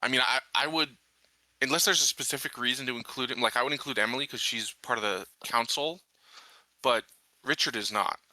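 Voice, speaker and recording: A man's voice: mid-range voice, a little fast, sharp tone, no echo. A man playing a tabletop roleplaying game, reasoning aloud casually with many qualifiers.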